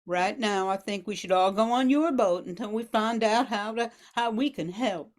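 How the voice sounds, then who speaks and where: A woman's voice: medium pitch, normal speed, lightly acted. An older woman playing a practical bayou woman who gives orders in an amateur radio play, reading plainly with small stumbles. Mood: decisive. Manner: plain, directive, stumbles over script.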